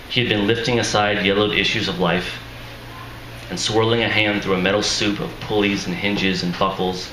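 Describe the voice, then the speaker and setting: Low-voiced guy reading his own story at a live reading, bright edge, roomy echo. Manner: measured, deliberate storytelling. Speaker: author. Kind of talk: reading fiction aloud at a live event. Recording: echoey room with faint noise.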